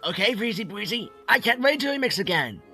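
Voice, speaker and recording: A man voicing a zany, excitable little cartoon creature with exaggerated, shouty delivery in a fan dub. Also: sits high, fairly quick, vocal fry, faint music behind, heavily acted. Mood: brash, scornful. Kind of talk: cartoon fandub acting.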